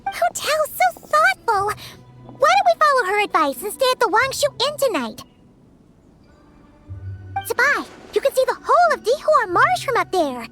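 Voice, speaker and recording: Game fairy mascot: childlike, bubbly, chirpy, very high voice with lively swings, over prominent music. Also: female voice, normal speed, pauses often, heavily acted. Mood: upbeat. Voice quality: squeaky, childlike. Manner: chirpy, refers to self in third person. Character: tiny bubbly childlike fairy companion girl, excitable, talks in third person. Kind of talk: video game voice acting.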